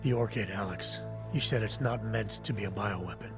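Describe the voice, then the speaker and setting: Casting: male actor as augmented operative for game cutscenes, lowish voice, terse, analytical, deadpan; heavy score behind. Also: a little fast, lightly acted. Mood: guarded, probing. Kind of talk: video game cutscene acting. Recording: loud music behind the voice.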